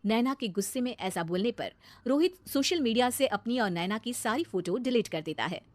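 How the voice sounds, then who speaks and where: A woman's voice: a little high, animated, normal speed, dry recording. A woman narrating a scripted cartoon moral story as its storyteller.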